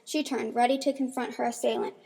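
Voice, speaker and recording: A woman's voice: on the high side, fairly even intonation, a little fast, vocal fry, a little mellow, husky. A woman reading scripted narration for an amateur radio mystery.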